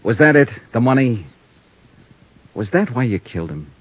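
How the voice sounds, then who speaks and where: A wry hard-boiled insurance sleuth. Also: male voice, mid-range voice, big pitch swings, moderate pace. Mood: probing. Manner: cool, probing questioning with a world-weary edge. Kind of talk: 1950s radio drama acting and first-person narration. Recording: muffled 1950s radio transcription.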